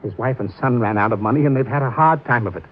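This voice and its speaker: An actor playing a gentle, remorseful old man in a vintage radio drama, husky and earnest, with a halting, pleading way of speaking. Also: mid-range voice, lightly acted. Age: older. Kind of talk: 1950s radio drama acting. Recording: tinny, narrow-band vintage radio recording.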